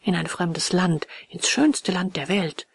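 A woman's voice: fairly low pitch, takes it slowly, vocal fry, husky, exaggerated voice. A woman voicing a sly, lazy schoolboy tempter in a storybook, with a coaxing, dismissive drawl. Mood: secretive. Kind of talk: storybook character dialogue.

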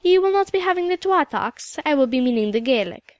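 A woman reading a storybook, voicing a proud, dignified old widow with a Highland Scottish accent and a rich, emphatic delivery. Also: expressive, a little fast, no echo, clean studio sound. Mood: earnest, proud. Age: older. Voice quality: rich, powerful. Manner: stately, emphatic, lilting turns of phrase.

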